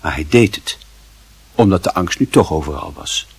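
A male narrator reads a literary story with drama. His voice is lowish and very husky, and it moves through a wide, animated range of pitch. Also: normal speed, no echo.

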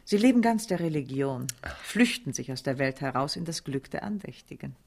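A woman's voice: low-pitched, expressive, brisk, vocal fry, darkish tone, exaggerated voice. An actress playing a scheming, elegant, mature French noblewoman in a German radio play, with a gracious, insinuating, theatrical manner. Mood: sly, pious.

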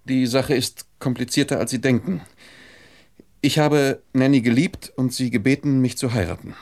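An actor in a German radio drama playing a shy, heartbroken gentleman who speaks politely and haltingly, holding himself back. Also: mid-range voice, steady tone, close to an everyday voice. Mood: earnest.

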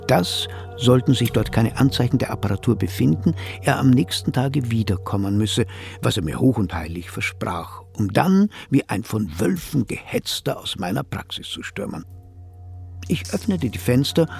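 An actor in a radio play playing a polite, sceptical male psychotherapist who speaks in a measured, pedantic, formal way. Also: mid-range voice, vocal fry, darkish tone. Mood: reflective narration. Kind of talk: German radio drama dialogue and narration.

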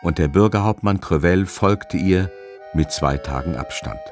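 A man's voice: low-pitched, unhurried. A man narrating a radio drama in a scripted literary style. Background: faint music.

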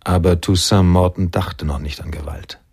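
An actor playing a brooding film-noir narrator in a radio drama, reading scripted narration in a measured, grave manner. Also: male voice, sits low, fairly even intonation, darkish tone, slightly rough, dry recording, clean studio sound. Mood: somber. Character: brooding male film-noir narrator recalling his friend's escape.

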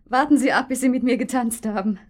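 An actress playing a gracious, reserved but softly flirtatious woman being courted in a German radio drama, speaking in a measured way. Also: on the high side, close to an everyday voice. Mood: playful, inviting.

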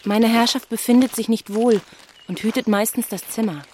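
An actress playing a deferential housemaid in a German radio play, speaking formally and courteously. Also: female voice, a little high, steady tone, normal speed, background noise, natural voice. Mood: polite. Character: polite, deferential English housemaid.

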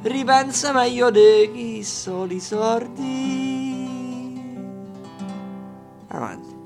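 Male Roman folk singer, very high, unhurried, mocking tone. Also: steady tone, gravelly, over music. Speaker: singer and guitarist. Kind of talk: sung Roman folk verses with guitar.

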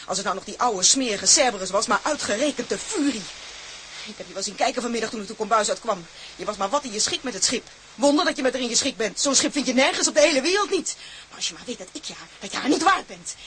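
An actress playing a fierce, suspicious young sea captain's daughter in a radio drama, sharp-tongued and accusing, over background noise. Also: female voice, medium pitch, close to an everyday voice. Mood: scornful, then furious. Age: young adult. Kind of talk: Dutch radio drama acting.

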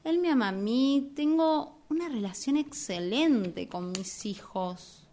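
Radio drama role: earnest Argentine female therapy patient played by a woman, unhurried and mellow, apologetic, formal with the doctor. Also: high-pitched, expressive, heavily acted. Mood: hesitant confession. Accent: Argentine Rioplatense. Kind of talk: amateur radio theatre acting.

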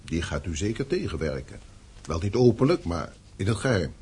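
An actor in a radio play as a loyal estate overseer, respectful and measured. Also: male voice, low-pitched, big pitch swings, a little mellow, husky, exaggerated voice. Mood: deferential, grave.

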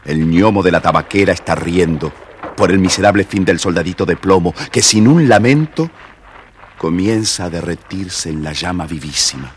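Low-pitched Argentine male storyteller. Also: moderate pace, noisy background. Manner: addresses young listeners directly. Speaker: storyteller, narrator. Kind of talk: scripted narration of children's tale.

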